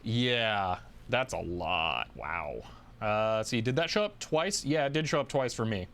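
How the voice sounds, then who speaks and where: A man hosting a livestream, chatting casually and thinking aloud in a halting way as he answers viewers. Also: on the low side, big pitch swings, fairly bright tone. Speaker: livestream host.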